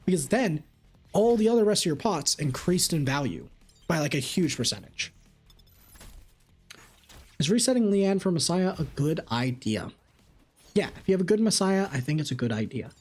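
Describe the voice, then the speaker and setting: A man live-streaming a game, reading chat questions aloud and answering them in an animated way. His voice is slightly raspy. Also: a little high, moderate pace, pauses often, faint music behind.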